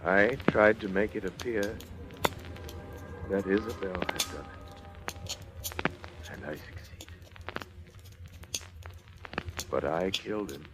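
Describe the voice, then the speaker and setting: An actor playing a cold, controlling murderous doctor in an old-time radio suspense drama, speaking formally and deliberately with theatrical weight. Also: male voice, low voice, very noisy. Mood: grim confession. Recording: vintage radio drama, music underneath.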